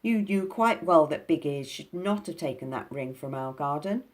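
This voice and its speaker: A woman's voice: low voice, lively intonation, moderate pace, pitched above the performer's own voice. A woman doing a fiery little pixie. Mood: angry. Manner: fiery, indignant, boastful. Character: small fierce bold male pixie.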